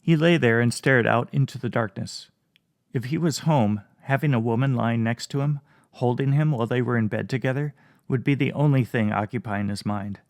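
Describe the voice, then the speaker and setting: A man reading a fantasy story aloud in a calm, measured audiobook narration. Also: mid-range voice, dry recording, clean studio sound.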